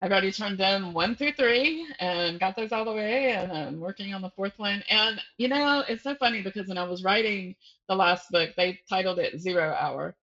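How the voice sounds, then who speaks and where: A woman's voice: medium pitch, normal speed, fairly bright tone. A woman speaking as a guest author in a casual interview, conversational and thinking aloud, with self-deprecating laughs. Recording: slightly dull, muffled audio.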